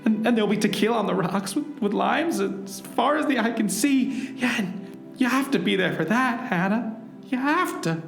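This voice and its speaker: A man's voice: sits high, lively intonation, moderate pace, gravelly, fairly bright tone, exaggerated voice. An actor playing an earnest, melodramatic hero who narrates his own adventure in an overwrought, theatrical way in a parody radio drama. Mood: anguished. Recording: roomy echo, music underneath.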